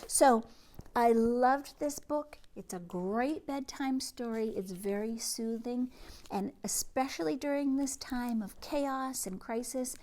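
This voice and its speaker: A woman hosting a read-aloud for young children, speaking expressively and gently, with hushes and words that drop toward a whisper. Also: a little high, slow. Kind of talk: children's storybook read-aloud and chat to families.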